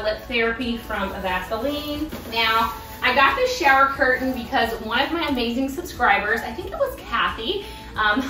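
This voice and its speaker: A woman in her thirties chatting casually about her shopping haul, bubbly and quick to laugh, recorded in a home kitchen. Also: on the high side, pushed and bright, recorded from a distance. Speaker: vlogger. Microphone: camera across the room. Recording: roomy kitchen, voice from off-mic, music behind.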